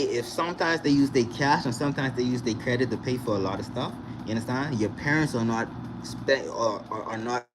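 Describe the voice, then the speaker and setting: Chatty young man, noisy background. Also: mid-range voice. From Bahamas. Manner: animated, repeats "you understand?" to the viewer. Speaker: vlogger. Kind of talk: casual direct-to-camera chat. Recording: noisy recording with vehicle sounds behind.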